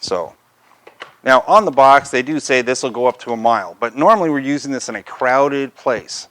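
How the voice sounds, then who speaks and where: A man's voice: medium pitch, moderate pace, fairly bright tone. A man presenting a product review, talking through features in an unscripted, conversational, explanatory way.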